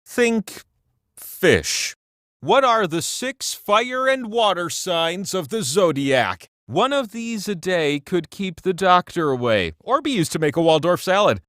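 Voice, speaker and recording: A man voicing a whimsical, all-knowing talking wheel that hosts a trivia video game, theatrical and playful, with drawn-out emphasis. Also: high-pitched, big pitch swings, a bit bright, dry recording, clean studio sound, full character voice. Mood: whimsical, musing. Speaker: game show host. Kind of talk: scripted trivia game hosting.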